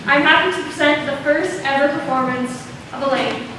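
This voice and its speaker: A woman's voice: high-pitched, normal speed. A young woman giving an earnest, slightly hesitant and informal welcome speech as a playwright before a show. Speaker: playwright introducing own school play. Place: echoey performance space with seated audience. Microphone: distant video camera at the back. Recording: distant microphone, echoey noisy hall.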